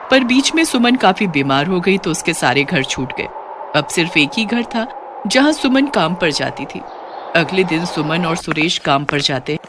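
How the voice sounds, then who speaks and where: A woman's voice: on the low side, noisy background. A woman reading scripted narration for a story as its narrator.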